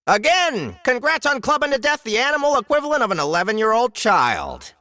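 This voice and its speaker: Man putting on a high, snide game-system voice. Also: huge pitch swings, a little fast, sharp tone, dry recording, exaggerated voice. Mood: sarcastic scolding. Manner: sarcastic, scolding. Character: snide, mock-congratulatory commenting game system. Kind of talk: fantasy audiobook character voice.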